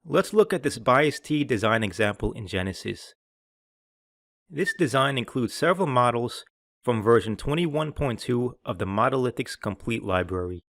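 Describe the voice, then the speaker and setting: A man presenting a scripted software tutorial, explaining in a clear, orderly way. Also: medium pitch, animated, normal speed, no room sound, studio quality. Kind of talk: scripted instructional narration.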